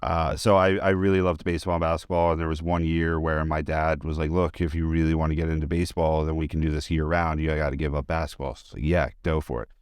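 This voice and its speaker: A man's voice: a bass voice, level tone, fast, hardly any pauses, darkish tone, smooth, dry recording, clean studio sound. A man giving a casual, chatty interview, telling anecdotes in an informal, enthusiastic way. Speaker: interview guest, high school teacher and sports announcer.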